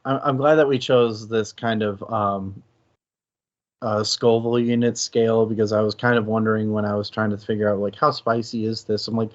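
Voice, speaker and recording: A man co-hosting a casual discussion, thinking aloud haltingly and correcting himself as he goes. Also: on the low side, little pitch movement, highs cut off. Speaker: co-host of a book discussion stream.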